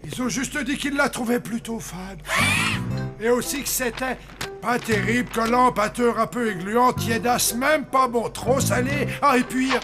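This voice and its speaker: A man's voice: extremely high pitch, a little slow. A man voicing a hapless, bluntly tactless kitchen assistant in a cartoon, artless and matter-of-fact. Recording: music behind the voice.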